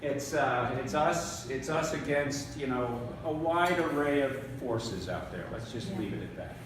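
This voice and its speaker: A man's voice: mid-range voice, expressive, measured pace, noisy background. A man in his fifties from northern New York, a congressional candidate giving a folksy, conversational campaign speech with fillers and repeated words. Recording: echoey room recorded from far off.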